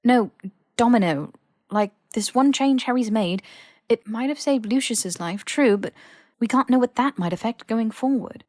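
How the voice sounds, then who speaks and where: A woman's voice: on the high side, normal speed, darkish tone, dry recording, clean studio sound, pitched above the performer's own voice, natural voice. A woman voicing an earnest, cautious, analytical young British woman in an audiobook story. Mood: worried, reasoning. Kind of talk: audiobook character dialogue.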